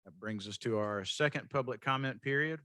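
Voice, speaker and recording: A man chairing a city committee meeting, running motions and votes in a measured, procedural way. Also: mid-range voice, bright, forceful tone, no echo, clean studio sound.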